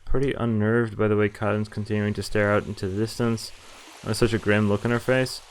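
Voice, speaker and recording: A man's voice: on the low side, level tone, fairly quick, slight background noise, low-quality recording. A man streaming a visual novel let's play, reading the text aloud and adding casual, offhand commentary of his own. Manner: mixes read-aloud narration with offhand reactions and asides. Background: game music.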